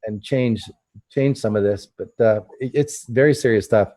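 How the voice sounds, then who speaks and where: A man hosting a casual interview, talking earnestly with halting starts and repeated words. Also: on the low side, dark tone. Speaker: host.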